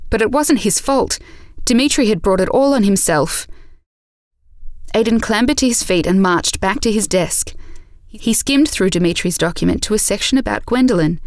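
A woman narrating an audio drama from a script in a measured storytelling manner. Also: mid-range voice, expressive, no room sound, clean studio sound.

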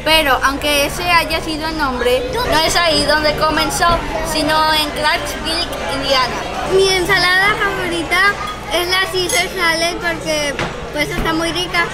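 A young female with a very high voice, reading scripted voice-over narration for a family vlog. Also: a little slow, a loud crowd.

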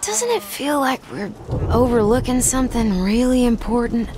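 A woman's voice: on the high side, very animated, normal speed, noisy background. An actress playing a dryly playful, self-assured frontier woman in a video game, arch and unruffled. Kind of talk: video game cutscene acting. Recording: farm animal ambience behind.

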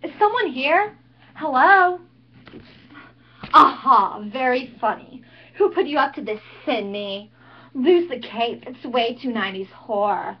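A woman's voice: high voice, lively intonation, slow, full character voice. A woman playing a bratty, snobbish teen cheerleader. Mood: sarcastic. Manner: sarcastic, bratty put-on theatrics. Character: snobbish teen girl cheerleader, mocking and haughty. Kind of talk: amateur monologue performance. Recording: dull lo-fi recording.

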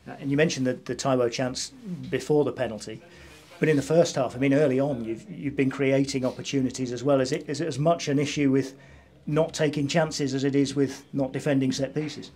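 A man's voice: mid-range voice, fairly even intonation, brisk, a little mellow. A man interviewing a football manager after a match, posing conversational, leading questions. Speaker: club interviewer.

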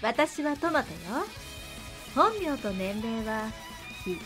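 A woman's voice: a little high, sing-song, slow pace, music in the background. A woman playing a haughty, distrustful, secretive character in a voice drama, coy and disdainful. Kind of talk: Japanese voice drama acting.

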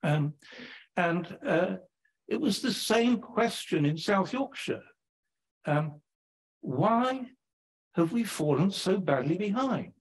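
An older English man from Sheffield giving a public lecture at a lectern, chatty and animated, often tagging sentences with "right?". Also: high-pitched, slow pace, long pauses, husky, low-quality recording. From England, Sheffield. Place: lecture hall, standing at a lectern. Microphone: lectern microphone.

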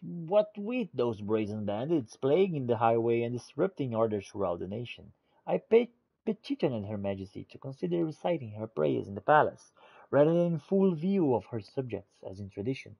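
A man giving casual in-character game commentary and reading notes aloud, halting and muttering as he goes. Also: mid-range voice, big pitch swings, gravelly, breathy edge, dry recording, muffled recording. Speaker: gamer roleplaying and narrating a playthrough.

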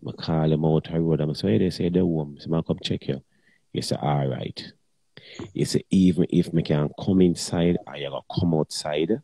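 Very deep-voiced man, blunt. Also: normal speed, gravelly, mellow, husky, no room sound. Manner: blunt and crude, in patois. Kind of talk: casual chat.

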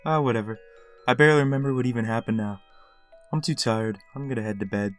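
An actor voicing a flustered, excitable young male fan in an animated comic dub, easily exasperated and prone to stammering. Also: medium pitch, quick pace, soft background music, close to an everyday voice. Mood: tired, deflated. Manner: urgent, stammering.

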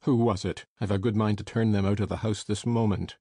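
A man reading an audiobook, voicing a harsh, irritable master of the house who speaks gruffly. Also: on the low side, fairly even intonation, a little fast, warm tone, no room sound, studio quality, pitched below the performer's own voice. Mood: angry. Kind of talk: audiobook character voice.

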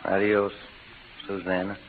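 Drawling roguish cowboy gambler. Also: male voice, deep voice, completely flat delivery, a little slow, a little hiss or hum. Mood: wistful. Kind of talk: 1940s-50s radio western acting. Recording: muffled vintage radio recording with music behind.

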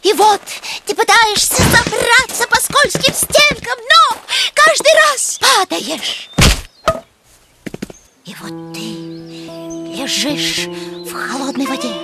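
A woman voicing a carefree, excitable young girl pig in a children's cartoon, telling stories in a dramatic, breathless manner. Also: very high voice, full character voice.

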